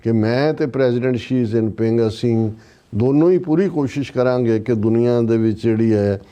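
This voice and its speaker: A man with a Punjabi accent and a dark, mellow voice at a middling pitch. He talks through politics conversationally as a show commentator, sardonic and pointed in his emphasis. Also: brisk.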